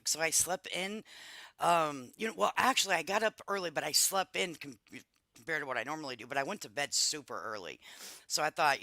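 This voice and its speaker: A woman hosting a casual livestream, congested and a bit raspy from a cold, chatting to her viewers like friends. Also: low voice, bright, forceful tone, no room sound. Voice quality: raspier than usual. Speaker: livestream host. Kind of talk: casual reaction livestream chat.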